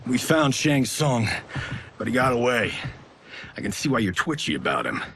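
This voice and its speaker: A cocky movie-star wisecracker, flippant and very lively in intonation. It is video game dialogue with faint noise behind. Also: male voice, medium pitch, normal speed. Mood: breezy. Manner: flippant, swaggering. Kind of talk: video game cutscene acting.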